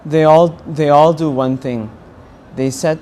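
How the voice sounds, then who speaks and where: A young man with an American accent giving a personal testimony in an earnest, halting way with pauses. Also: a little high, fairly even intonation, a little mellow, a little hiss or hum. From California, United States. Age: young adult. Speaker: university student interviewed as a pilgrim.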